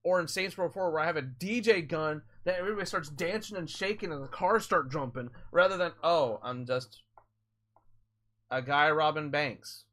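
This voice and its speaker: A man's voice: fairly high pitch, moderate pace, a bit bright. A man streaming a game and chatting with viewers, rambling through explanations with frequent restarts. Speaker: gaming live streamer. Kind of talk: casual live-stream chat answering viewers.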